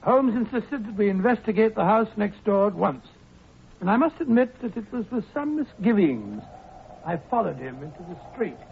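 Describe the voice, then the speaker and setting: A jittery, fretful companion in an old radio play, his high voice swooping up and down. The sound is thin and tinny like an old broadcast, with faint hiss behind. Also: very animated, normal speed, gravelly, full character voice. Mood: narrating. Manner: jittery, fretful, easily alarmed. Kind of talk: 1940s radio acting.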